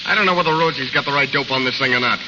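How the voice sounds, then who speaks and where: An actor playing a pushy, suspicious American gangster in an old radio drama, talking in tough slang. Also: male voice, medium pitch, natural voice. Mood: indignant. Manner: rapid slangy tough-guy talk. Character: pushy, suspicious male gangster, hot-tempered. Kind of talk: 1930s-40s radio drama acting. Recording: noisy, muffled vintage radio recording, long reverb.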